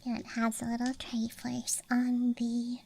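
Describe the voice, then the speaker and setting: Slow, whispering young woman, close-miked. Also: a little high, completely flat delivery, gravelly. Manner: soft, playful, musing aloud. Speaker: ASMR streamer. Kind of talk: whispered ASMR, rambling casual chat. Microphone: close ASMR microphone. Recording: background noise, ambience with clicks. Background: night campground ambience, clicking of small objects.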